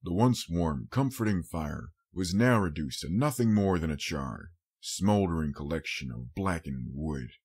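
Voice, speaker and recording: A man's voice: sits low, lively intonation, measured pace, hardly any pauses, darkish tone, slightly rough. A man reading a scripted story as an audiobook narrator.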